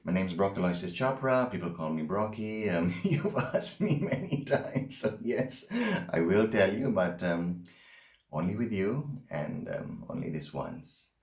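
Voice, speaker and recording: Casting: male actor for unsettling, stammering male confessor in a dramatic monologue, low-voiced, recorded in an echoing room. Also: expressive, a little mellow. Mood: uneasy, confiding. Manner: halting, stammering, intense storytelling. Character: Brocky, unsettling haunted man confessing his troubled loves.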